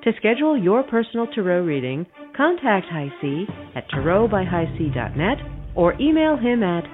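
A woman's voice: medium pitch, sing-song, normal speed, narrow, tinny audio. A woman announcer reading scripted promotional narration. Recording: music bed behind.

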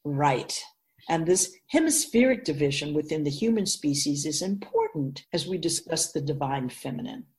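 Wise ageless female spirit guide played by a woman, lowish pitch, lively intonation, measured, instructive, radio drama. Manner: measured, instructive, emphatic, with deliberate pauses. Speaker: teacher figure in a radio drama. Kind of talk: scripted radio drama, explanatory dialogue.

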